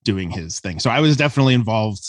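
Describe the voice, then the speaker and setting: A man's voice: on the low side, lively intonation, fast talker, dry recording. A man talking casually as a writer being interviewed, explaining how he works. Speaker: writer, guest.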